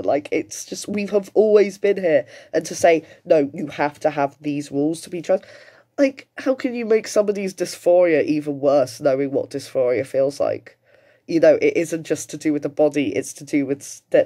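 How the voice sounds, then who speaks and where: A man's voice: fairly high pitch, warm tone. A British man in his late twenties giving casual, emphatic, sweary reaction commentary. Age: late 20s. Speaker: commentator reacting to another person's video.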